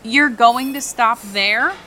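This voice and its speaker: A woman's voice: a little high, slow, pushed and bright, a little hiss or hum. An actress playing a brash, flippant young woman, a hired thief, in an audio drama with a sarcastic, casual manner. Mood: taunting. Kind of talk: naturalistic audio drama dialogue.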